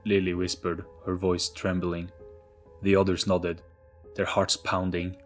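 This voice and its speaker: Deep-voiced man narrating a spooky story. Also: monotone, unhurried, soft background music. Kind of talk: scripted dramatic story narration.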